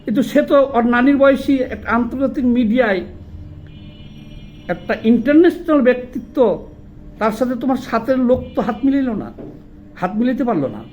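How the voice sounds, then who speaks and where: A man's voice: very high voice, animated, normal speed, long pauses, darkish tone, muffled recording, a little hiss or hum. A man with a Bangladeshi accent delivering an impassioned political monologue, indignant and emphatic, often putting rhetorical questions. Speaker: political commentator.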